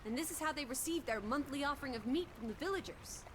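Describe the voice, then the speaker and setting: A woman's voice: high-pitched, fast, noisy background, natural voice. A woman voicing a cheeky, playful teenage girl companion in a video game. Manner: teasing, playful, exclaiming.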